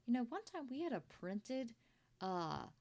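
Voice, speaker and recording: A woman playing a kindly, proud older company founder in an ASMR roleplay, chatty and doting, with a creaky voice. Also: on the high side, sing-song, a little slow. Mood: pleased, businesslike. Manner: gentle, chatty, doting, with throat-clearing and rambling asides. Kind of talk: ASMR roleplay monologue to the listener.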